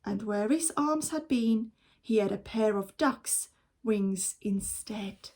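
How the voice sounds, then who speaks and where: A woman reading a children's story aloud as a teacher would, in a measured, expressive storytelling manner. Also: medium pitch.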